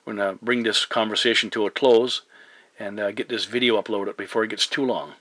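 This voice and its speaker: A middle-aged man chatting as a radio operator, courteous and measured, with hesitant phrasing and operator jargon. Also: lowish voice, steady tone, a bit bright, dry recording. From Newfoundland, Canada. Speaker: amateur CB radio operator hosting a video contact.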